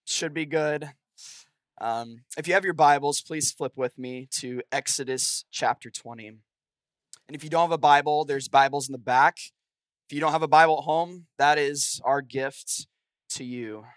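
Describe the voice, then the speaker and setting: A man's voice: fairly high pitch, normal speed, smooth, no room sound. A young man with a scratchy voice from a cold, preaching a sermon to a congregation as guest preacher.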